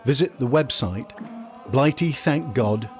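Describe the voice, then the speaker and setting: A man's voice: medium pitch, measured pace, over music. A man reading scripted narration as the host of a history documentary podcast.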